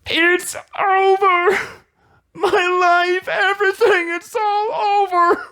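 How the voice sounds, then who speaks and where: A performer voicing a panicked, melodramatic young man from a video game, with a high, strained, whiny wail. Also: level tone, pushed and bright, heavily acted. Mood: wailing despair. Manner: melodramatic, drawn-out cries.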